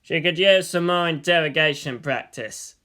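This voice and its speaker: A woman voicing a wry male security agent with a measured, dryly suggestive manner in a story reading. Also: sits low, a bit bright.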